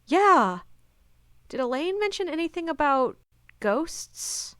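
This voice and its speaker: A woman's voice: high voice, big pitch swings, slow, a bit bright, no echo, studio quality, full character voice. A woman playing a jumpy, annoyed young friend in an audio play. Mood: uneasy.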